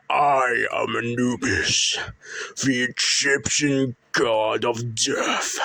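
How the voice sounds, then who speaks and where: A man's voice: mid-range voice, fairly bright tone. A man voicing a menacing Egyptian god of death, speaking in a grandiose, threatening manner in an amateur audio drama.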